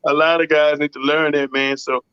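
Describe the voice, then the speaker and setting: Raspy dude, kinda high voice, rambles on, dry dull sound. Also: male voice, little pitch movement, moderate pace, no room sound. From Southern US. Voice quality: rough, raspy voice. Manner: rambling, fills with "you know what I'm saying". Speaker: singer, songwriter and producer. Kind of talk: casual interview talk.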